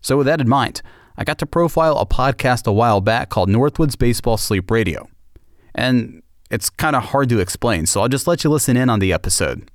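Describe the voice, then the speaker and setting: A man's voice: mid-range voice, lively intonation, fairly quick, no echo, studio quality. A man narrating a scripted podcast as its host.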